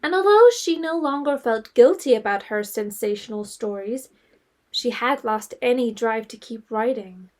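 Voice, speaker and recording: A woman's voice: on the high side, lively intonation, moderate pace, a little mellow. A woman reading scripted storytelling narration as the narrator of a period audio drama.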